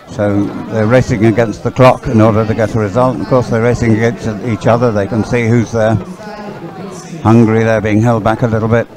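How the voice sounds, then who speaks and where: A man giving loose, halting live race commentary outdoors, often rambling and thinking aloud. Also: fairly low pitch. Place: outdoors beside a race course. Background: music, loudspeakers at a sports venue.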